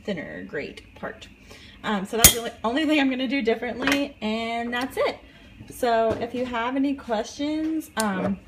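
A woman's voice: mid-range voice, noisy background. A young woman in her twenties casually demonstrating a recipe in her home kitchen, chatting with many ums while she works. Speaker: vlogger.

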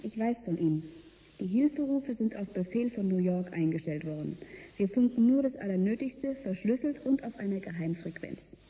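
A woman's voice: mid-range voice, expressive, normal speed, warm tone, close to an everyday voice, poor audio. Skeptical, anxious spacefaring woman, played by an actress. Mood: resigned, anxious. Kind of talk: German science-fiction radio drama dialogue. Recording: music behind the voice.

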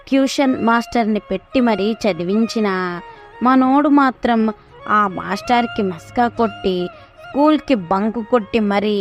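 A woman narrating a scripted story, with chatty asides to the listener. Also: on the high side. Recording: music behind the voice. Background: background music.

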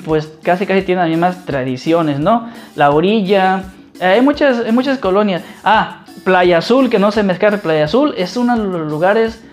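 A man from Mexico with a Mexican accent, chatting casually as a vlogger in an informal, halting, repetitive way. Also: sits high, soft background music. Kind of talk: casual chat answering viewer questions.

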